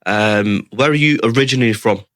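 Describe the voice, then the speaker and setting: A man's voice: mid-range voice, brisk, a bit bright, no room sound, studio quality. A man hosting a podcast interview, asking questions casually with hesitant fillers. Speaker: podcast host.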